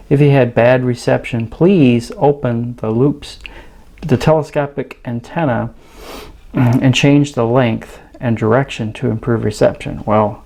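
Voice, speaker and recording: A man's voice: medium pitch, vocal fry, dark tone. An American man giving a casual, unscripted product review, hesitant and thinking aloud as he goes. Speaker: radio reviewer.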